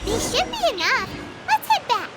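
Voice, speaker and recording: Cheeky, greedy little fairy sidekick for a game: piping, squeaky, wildly animated. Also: female voice, normal speed, faint music behind, full character voice. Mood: eager, concerned. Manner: squeaky, exclamatory, refers to self in third person. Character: tiny excitable childlike fairy companion, cheeky and greedy. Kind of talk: video game character dialogue. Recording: loud game background sounds.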